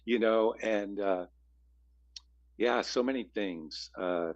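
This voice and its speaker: A man with a very low bass voice, answering interview questions as a musician guest, rambling with frequent restarts. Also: slow pace, muffled recording.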